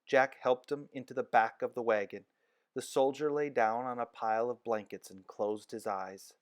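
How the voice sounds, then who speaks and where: Man, children's book read-aloud, expressive storytelling, dry close sound. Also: medium pitch, normal speed, no room sound. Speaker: narrator.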